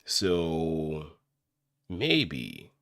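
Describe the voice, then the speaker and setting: A man streaming casually, thinking aloud in a halting, musing way as he works. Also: very deep voice, huge pitch swings, a bit bright, no room sound.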